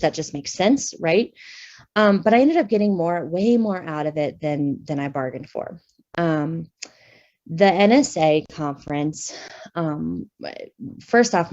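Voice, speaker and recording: A woman with a somewhat low voice speaks candidly and conversationally, often pausing on fillers as she talks to a conference audience. The audio is dull and lo-fi. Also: darkish tone. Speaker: speech pathologist. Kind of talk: personal talk at a conference session.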